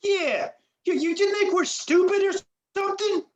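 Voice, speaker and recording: A woman's voice: extremely high pitch, normal speed, a little mellow, lo-fi sound. A woman playing a dim, threatening gangster sidekick with tough-guy slang in a campy noir radio play.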